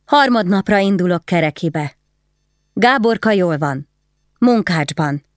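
A woman's voice: fairly low pitch, lively intonation, dry recording, clean studio sound, voice pushed up, lightly acted. A woman voicing a proud noblewoman who speaks to her husband in a cool, firm, commanding way. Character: proud, stern noblewoman wife.

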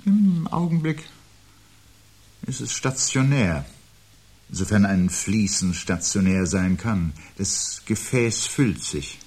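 An actor playing a brooding, pedantic husband gripped by foreboding, in measured, formal, husky radio-play speech. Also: male voice, fairly low pitch, animated, a little mellow, heavily acted. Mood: brooding unease. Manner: measured, formal, portentous diction. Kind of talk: 1970s German radio play acting.

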